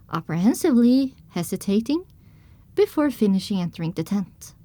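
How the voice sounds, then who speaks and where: A woman reading scripted first-person narration as the heroine of an audio drama, recounting her dark adventure. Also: fairly high pitch, dry recording.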